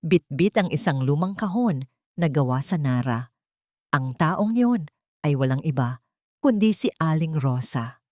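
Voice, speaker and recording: A woman reading a scripted dramatic story as narrator, in a measured, literary storytelling manner. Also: low-pitched, expressive, a little mellow, no echo, studio quality.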